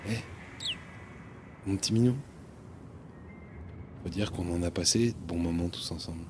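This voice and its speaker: A man's voice: low-pitched, moderate pace, background noise, natural voice. A man playing a young cat owner who talks to his pet while driving, rambling and stammering, with the odd swear word. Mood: tender. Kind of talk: audio drama acting.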